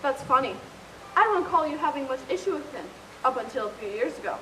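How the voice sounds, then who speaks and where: A woman's voice: high-pitched, lively intonation, fast talker, a bit bright, far from the mic. Woman acting young prosecutor type, probing, stage play, echoey, faint hiss. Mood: pointed, accusing. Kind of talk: amateur one-act stage play. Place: indoor stage, recorded from a distance.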